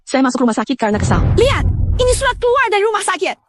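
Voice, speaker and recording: A woman's voice: big pitch swings, very fast. A woman playing a belligerent, scheming old neighbor who acts the aggrieved victim in a short drama. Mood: outraged, wailing. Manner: shrill, aggrieved, accusatory.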